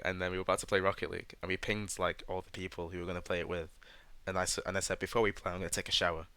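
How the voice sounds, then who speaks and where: A man's voice: low-pitched, bright, forceful tone, clean tone, no room sound. A British man hosting a casual podcast chat with friends; he laughs often and stumbles into sentences. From Britain. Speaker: podcast host.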